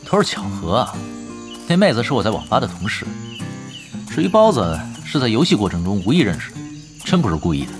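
Laid-back veteran gamer leader, audio drama; deeper voice, matter-of-fact, music clearly underneath. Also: male voice, big pitch swings, a little fast, pauses often. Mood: low-key. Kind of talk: Chinese audio drama acting. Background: background music.

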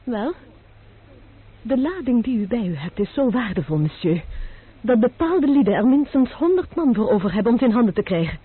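A woman's voice: a little high, very animated, brisk, breathy edge. A cool, commanding young lady. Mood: stern. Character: stern, composed young female messenger. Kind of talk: vintage radio play acting. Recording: tinny lo-fi old radio broadcast.

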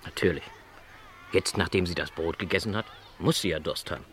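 A man playing a lonely, middle-aged travelling salesman in a radio play, polite, gentle and resigned, reflecting hesitantly. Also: sits low, fairly quick, a little hiss or hum. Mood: polite, concerned. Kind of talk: German radio play dialogue.

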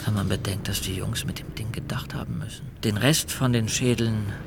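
A man's voice: on the low side, steady tone, normal speed, vocal fry, music in the background. An actor in a radio drama playing a male serial killer who confesses to police in clipped, matter-of-fact phrases. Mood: grimly matter-of-fact.

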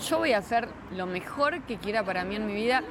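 A woman with an Argentine Rioplatense accent talks in an informal, slangy and opinionated way as an interview guest. Her pitch is average, and a busy crowd with urban noise is clearly audible around her. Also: expressive, a little fast. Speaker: interviewed guest, children's science entertainer and writer. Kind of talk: casual chat, answering interview questions. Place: busy brewery bar hosting an event. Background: crowd and street noise.

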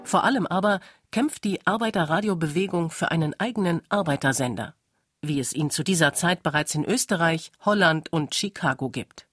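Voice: mid-range voice, moderate pace, fairly bright tone, slightly rough, no echo, faint music behind. A narrator reading a scripted radio documentary.

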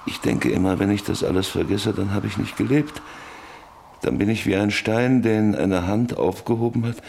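An actor in a German radio drama playing an old, lonely rancher who broods bitterly over his life in halting, repetitive phrases. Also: fairly low pitch, vocal fry, darkish tone, slight background noise. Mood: brooding, lonely. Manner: brooding, halting, repeating words.

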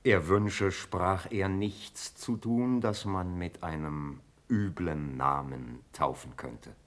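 Deep, measured courtly count. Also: male voice. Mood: measured, apologetic. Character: deferential, courtly count and officer. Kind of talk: classical German verse radio drama acting.

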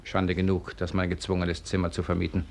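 A man playing an irritable, proud young Russian gentleman in a 1950s German radio drama, agitated and sharp-tongued. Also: deep voice, completely flat delivery, fast, vocal fry. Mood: tense, grudging.

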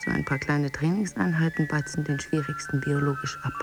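An actress playing a brisk, preachy female fitness coach who delivers didactic, jargon-laden slogans in a radio play. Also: sits low, steady tone, music in the background.

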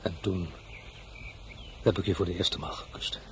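An actor playing a proud, weary French emperor after his defeat, speaking in a measured, brooding way with flashes of bitterness. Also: low-pitched, level tone, sharp tone, a little hiss or hum. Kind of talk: radio play acting.

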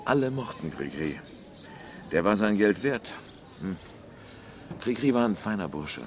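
A man's voice: lowish voice, big pitch swings, unhurried, slight background noise. An actor playing a self-righteous, hostile village hunting-club man in a radio crime drama, blustering and hemming. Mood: sentimental. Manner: hesitant fillers, sneering chuckles, menacing bluster.

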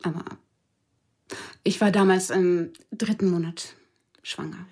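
A woman playing a grieving witness in a naturalistic radio crime drama, speaking haltingly about her former lover. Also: on the low side, steady tone, a little mellow, slightly rough, full character voice. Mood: sad, subdued. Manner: halting, hesitant recollection.

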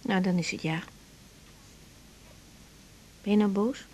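An actress playing an anxious, stubborn young wife and doctor in a radio drama, often hesitant and repeating herself. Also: female voice, medium pitch, slow, darkish tone. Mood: subdued, confused. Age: young adult. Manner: hesitant, repeating her refusals.

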